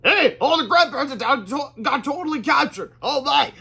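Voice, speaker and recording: Man voicing an excitable high-pitched schoolboy. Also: normal speed, creaky, exaggerated voice. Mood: excited, alarmed. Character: excitable, easily distracted young schoolboy heroes. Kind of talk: children's storybook character voice.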